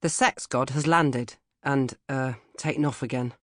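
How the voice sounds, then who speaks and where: A British woman reading comic first-person audiobook narration in a droll, expressive manner. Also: rumbling low, a little slow, dry recording, studio quality. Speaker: author reading own audiobook.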